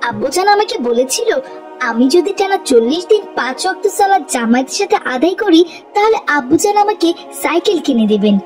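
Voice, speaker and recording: A polite, obedient cartoon schoolboy voiced by a woman. The voice is high and moves in a lively way, with music behind it. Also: brisk. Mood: friendly, proud. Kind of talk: cartoon voice acting.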